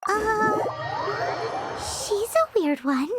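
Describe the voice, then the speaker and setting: A woman's voice: very high-pitched, expressive, extremely slow, noisy background, full character voice. A woman voicing a tiny, naive and candid fairy companion in a video game, with a childlike manner. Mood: uneasy. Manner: childlike, refers to self by name.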